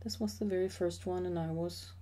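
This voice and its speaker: Middle-aged woman talking thru her woodwork slides, calm and measured, warm mellow low voice. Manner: measured, explanatory, with occasional fillers. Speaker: associate professor sharing a hobby. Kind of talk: voice-over narration of a slideshow.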